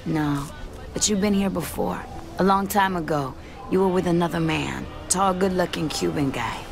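A woman's voice: lowish voice, moderate pace, creaky, music in the background. A woman acting a tough, flirty, shrewd bartender and drug dealer in a video game, cool and sassy. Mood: flirty, wary.

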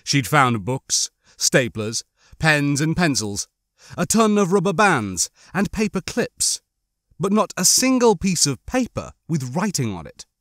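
A man's voice: a little high, big pitch swings, measured pace, a bit bright, no room sound, clean studio sound. A man narrating a horror novel as an audiobook, reading a dramatic scripted story.

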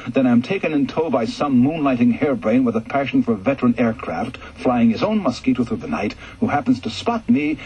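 A man reading a first-person story aloud for radio, with a measured, earnest delivery and a very husky voice. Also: mid-range voice, a little mellow, poor audio.

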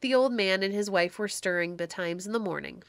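A woman's voice: mid-range voice, fairly quick, creaky, no room sound. A woman narrator reading a classic tale aloud in a scripted storybook style.

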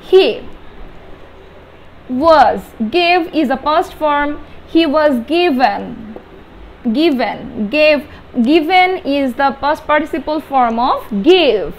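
A young adult Bengali-speaking woman with a Bangladeshi accent, explaining grammar step by step as a teacher, halting and repeating key words with emphasis. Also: high-pitched. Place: small indoor room with whiteboard. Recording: steady background room noise.

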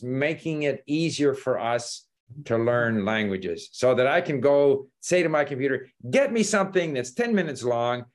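An older man telling travel stories in a casual video call from his home study, talking with his hands and chuckling. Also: medium pitch. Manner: animated storytelling with gestures, chuckles. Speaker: language learning host. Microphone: laptop webcam video call.

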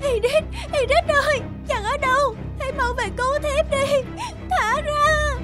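A woman's voice: very high voice, fairly even intonation, unhurried, over loud music. A woman playing a frightened captive young wife in a cartoon fairy tale, wailing desperately for rescue.

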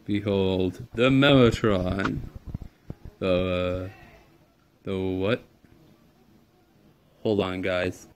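Slow, low-voiced young man joking through gameplay. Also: steady tone, slight background noise. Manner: rambling, jokey, mumbles through on-screen text. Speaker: gameplay video maker. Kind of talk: casual gameplay commentary.

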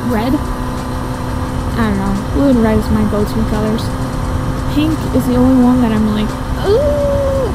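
A woman in her twenties chatting casually and rambling while riding a motorcycle, with a giggly, friendly manner. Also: a little high. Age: 20s. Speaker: motovlogger. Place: outdoors on a moving motorcycle. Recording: wind and engine noise.

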